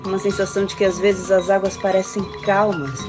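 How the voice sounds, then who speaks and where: A woman's voice: medium pitch, little pitch movement, a little fast, natural voice. An actress playing an exhausted woman in an audio drama, confiding in her partner hesitantly and reflectively, in a naturalistic style. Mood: pensive. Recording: music bed behind the voice.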